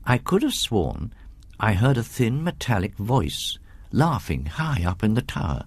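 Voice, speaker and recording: Eager English antiquarian gentleman played by a male reader, courteous, breezy, lively pitch, slightly husky, storybook style. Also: measured pace, dry recording, exaggerated voice. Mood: musing. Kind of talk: audiobook ghost story character voice.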